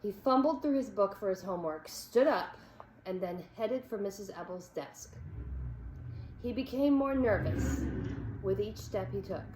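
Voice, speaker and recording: A woman's voice: medium pitch, lively intonation, unhurried, a little mellow. Woman reading a storybook in an echoey room. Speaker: read-aloud narrator. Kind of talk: expressive children's storybook read-aloud. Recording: echoey room with background noise.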